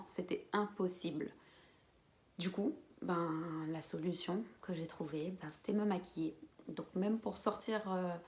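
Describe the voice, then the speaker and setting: A woman in her twenties from French Guiana, chatting casually to camera as a beauty vlogger, with quiet whispered asides. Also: medium pitch, vocal fry. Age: 20s. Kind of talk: casual vlog chat to camera.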